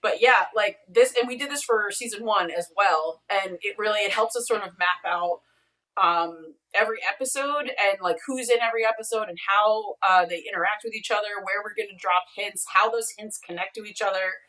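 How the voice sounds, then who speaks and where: A woman's voice: mid-range voice, brisk, pushed and bright. A woman talking casually as an interview guest on a video call, explaining things in a rambling way with emphatic asides. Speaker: podcast co-creator and showrunner, interview guest. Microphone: video call, away from the mic. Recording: distant mic on a video call.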